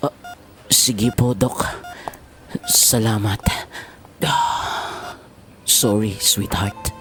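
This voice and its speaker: A man acting in an audio drama as an anguished, devoted middle-aged husband, halting and pleading. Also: medium pitch, close to an everyday voice. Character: anguished, devoted husband and father at hospital bedside.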